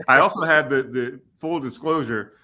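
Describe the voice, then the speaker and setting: An easygoing man in his forties who talks through a story at his own pace. He fills his pauses with small words and laughs readily. It sounds like an unhurried podcast conversation. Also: medium pitch, pushed and bright. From Kentucky. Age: 40s. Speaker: podcast guest.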